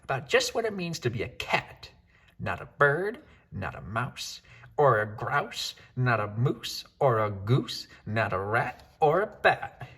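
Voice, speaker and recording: A man voicing a fussy, scolding mother cat who lectures slowly and sternly in a storybook reading. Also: mid-range voice, sing-song, a bit bright, breathy edge, full character voice. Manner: slow, stern, emphatic lecturing.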